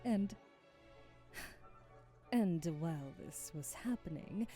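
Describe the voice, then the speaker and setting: An imperious vampire aristocrat, played by a woman, speaks in a lowish, mellow voice at an unhurried pace. She is formal and theatrical, every bit the elegant, commanding mistress of an audio roleplay, with faint music behind her. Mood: irritated, stern. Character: haughty aristocratic female vampire hostess, elegant, commanding, coldly protective. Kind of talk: scripted ASMR roleplay audio drama.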